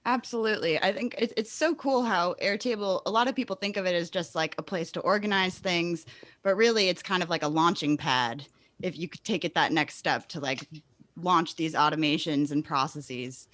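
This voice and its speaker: A woman's voice: lowish voice, moderate pace, fairly bright tone, no room sound. A woman hosting a casual podcast interview in an enthusiastic, affirming manner. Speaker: podcast host interviewing a guest.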